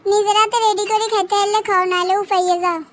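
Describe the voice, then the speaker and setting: Cartoon casting: demanding young Bangladeshi wife, Noakhali accent, extremely high and very fast, nagging, faint noise behind. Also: female voice, level tone, a bit bright. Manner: nagging, insistent. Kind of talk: comedy cartoon dialogue.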